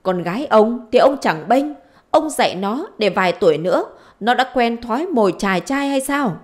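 A woman's voice: mid-range voice, expressive, moderate pace, lightly acted. A woman voicing a spiteful, foul-mouthed middle-aged village wife in a story, scolding, wailing and taunting.

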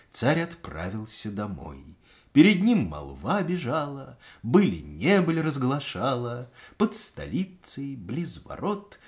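A man reads a verse fairy tale aloud in a storyteller's voice. His delivery is measured and rhythmic, with a lot of rise and fall in pitch and a slow, unhurried pace. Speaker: narrator.

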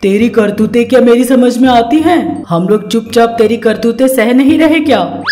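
Cartoon role: sarcastic, scolding man woman acting, slightly high pitch, echoey track. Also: animated, moderate pace, natural voice. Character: irritable, mocking man, sarcastic with his greedy wife. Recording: roomy sound with music behind.

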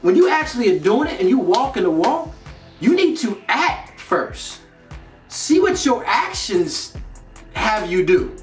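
A man's voice: sits high, big pitch swings, measured pace. A man in his thirties with an American accent gives a casual motivational talk to camera in an emphatic, slangy way. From North Carolina, United States. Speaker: host of a motivational video series. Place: indoor studio with plain grey backdrop. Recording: roomy echo, distant mic, music behind.

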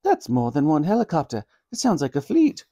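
A man's voice: a little high, wildly swooping pitch, brisk, warm tone, pitched above the performer's own voice, full character voice. A man voicing a sweet, flustered old grandmother in a storybook reading, with genteel old-fashioned exclamations. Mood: fussy.

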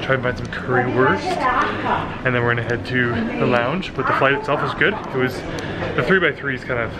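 A man's voice: medium pitch, a little fast. A man in his thirties vlogging casually from a plane cabin and airport, talking to a handheld camera with lots of fillers. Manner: chatty, relaxed, many fillers. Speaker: travel vlogger. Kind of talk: casual vlog commentary. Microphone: handheld camera at arm's length. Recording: loud background noise.

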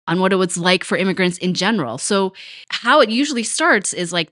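An American woman with a fairly low voice talks in a loose, conversational way, thinking aloud and often dropping in "like" and "you know." It sounds close and dry, with no echo. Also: fairly bright tone. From United States. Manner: chatty and reflective, with frequent fillers. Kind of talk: casual conversational chat.

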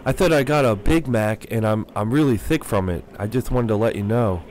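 A young man making a prank phone call as a confused customer, hesitant, bumbling and deadpan. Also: lowish voice, fairly quick, slight background noise. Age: young adult. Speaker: prank caller. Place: small cluttered room indoors. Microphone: microphone on a stand, close.

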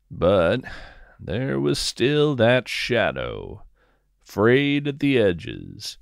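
A man tells a scripted story in the first person, taking his time over each phrase. His voice sits slightly low and swings expressively up and down. The delivery is measured and descriptive, like a fiction podcast host narrating. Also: no echo, studio quality.

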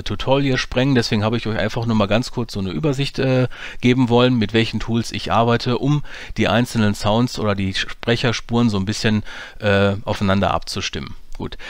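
A man walks viewers through his editing software in a relaxed, explanatory way, hesitating often as he clicks along. His voice is mid-pitched and recorded dry and close, with no room sound. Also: dry recording. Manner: casual, explanatory, frequent hesitations. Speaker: audio drama producer and tutorial host. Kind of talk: screen-recorded software tutorial.

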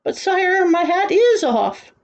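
A woman's voice: extremely high pitch, sing-song, slow pace, pitched above the performer's own voice, full character voice. A woman voicing a timid, polite, bewildered peasant boy in a storybook, stammering nervously. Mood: politely nervous. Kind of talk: storybook character acting.

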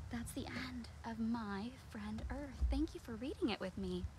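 A woman's voice: fairly high pitch, measured pace. An American woman hosting a storytime for young children outdoors, talking expressively and putting questions to her viewers. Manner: animated and expressive, with dramatic gasps, whispers and questions. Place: outdoors in a backyard. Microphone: handheld or propped phone at arm's length. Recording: outdoor phone recording with clear background noise.

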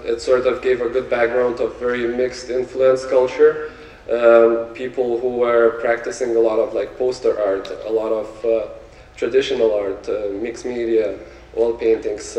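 A man in his thirties with a Lithuanian accent, giving a relaxed stage talk about his art and pausing often to find his words. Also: fairly low pitch. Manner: relaxed, searching for words with many fillers. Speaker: guest artist. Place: theater stage before an audience. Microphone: handheld mic, recorded from afar. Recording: echoey theater from far off.

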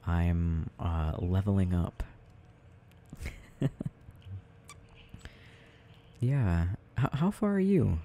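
A man playing a tender, doting boyfriend in an intimate, rambling ASMR roleplay, murmuring softly and affectionately with gentle teasing. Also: low voice, sing-song, slow pace, slight background noise, heavily acted. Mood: relaxed, gently rambling. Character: tender, doting boyfriend soothing his sleepy partner at night.

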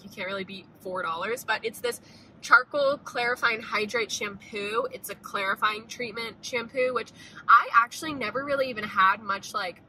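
A woman's voice: a little high, bright, forceful tone, slight background noise, poor audio. A young woman vlogger chatting casually about a shopping haul, upbeat, with lots of "like" and "um", filmed on a phone at arm's length in a parked car. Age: young adult. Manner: chatty, upbeat, many "like" and "um" fillers. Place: parked car, then a big store. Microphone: phone camera at arm's length.

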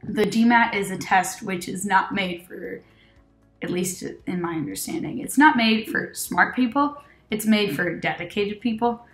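A woman's voice: medium pitch, unhurried, soft background music. Woman, 20s, grew up in Argentina, Spanish first language, American-leaning accent; chatty webcam testimonial, lots of fillers. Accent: American-leaning English. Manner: chatty, many fillers and self-corrections, laughs often. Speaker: test-prep student giving a testimonial. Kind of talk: casual testimonial. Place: small indoor room with red wall.

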